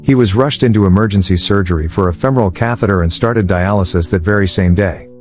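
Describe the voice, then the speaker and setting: A man reading a measured, solemn scripted narration over a music bed. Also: low voice.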